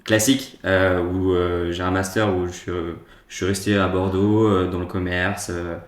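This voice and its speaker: A man's voice: deep voice, little pitch movement, normal speed. A man in his twenties, a guest in a casual chat, speaking hesitantly with many fillers. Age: 20s. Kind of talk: casual round-table chat. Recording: echoey room.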